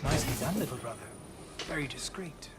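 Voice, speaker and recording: An actor playing a shrewd, hardened mercenary leader in a video game, dry and measured. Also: male voice, medium pitch, animated, over loud music, lightly acted. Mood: dry, wry. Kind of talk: video game cutscene acting.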